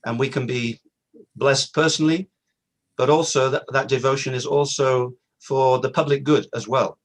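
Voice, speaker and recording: A middle-aged man with an average-pitched voice speaks at an online gathering. He is reflective and earnest, and he circles back to his points to underline them. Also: steady tone, normal speed. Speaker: guest speaker. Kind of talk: informal talk at a virtual community event.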